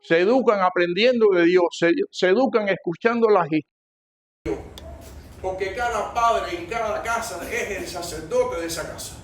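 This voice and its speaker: An older man with a Cuban Spanish accent preaching to a congregation, emphatic and repeating phrases, swinging between loud and hushed delivery. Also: high-pitched, normal speed. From Cuba. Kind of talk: sermon, teaching a church congregation.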